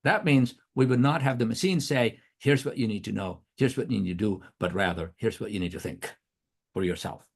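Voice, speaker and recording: A man's voice: fairly low pitch, fairly quick, breathy edge. A middle-aged man whose first language is Nepali, giving an earnest academic talk, often restarting words, with a throat irritated by allergy. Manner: earnest, questioning, frequent restarts. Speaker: guest speaker, professor.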